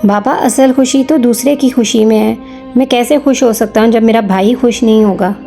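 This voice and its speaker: औरत की आवाज़ में नेकदिल, मासूम छोटा लड़का, ऊँची आवाज़. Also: female voice, a little high, normal speed, gravelly, dark tone, faint music behind. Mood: earnest pleading. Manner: sweet, pleading. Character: kind, earnest young boy pleading with his parents. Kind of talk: children's moral story acting.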